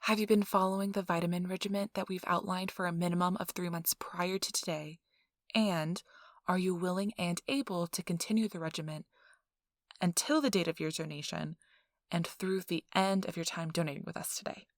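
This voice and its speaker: Woman playing a polite, creaky-voiced clinic interviewer. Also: lowish voice, steady tone, brisk, no echo, studio quality, close to an everyday voice. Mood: polite, businesslike. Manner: polite, officious, chatty, reassuring. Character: chipper, gossipy female interviewer at vampire blood donation center. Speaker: ASMR role-play performer. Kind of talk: ASMR role-play interview.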